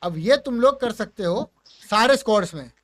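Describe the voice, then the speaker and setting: A man with an Indian accent and a high-pitched voice presents gaming content in a casual way. His talk is informal and slangy, switching between Hindi and English. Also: animated, moderate pace, fairly bright tone. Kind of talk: casual commentary with demonstrations.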